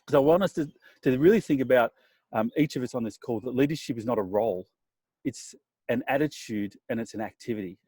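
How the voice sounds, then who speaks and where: A man's voice: medium pitch, brisk, dark tone, husky, dry recording. Earnest man opening a webinar, dry sound. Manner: earnest and encouraging, with fillers. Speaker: business partner introducing the webinar. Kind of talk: webinar introduction.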